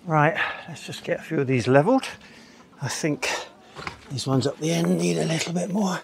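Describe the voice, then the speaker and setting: A man's voice: a little high, normal speed, creaky, slight background noise. An older man talking casually as he works on his allotment, explaining each step as he goes. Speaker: allotment gardener. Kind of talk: casual gardening vlog. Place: outdoor garden allotment. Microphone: camera mic on a tripod at a distance.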